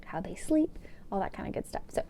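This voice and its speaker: A woman's voice: brisk, warm tone, a little hiss or hum. A woman hosting a children's read-aloud, telling the story expressively and putting questions to young listeners. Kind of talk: storybook narration with asides to children.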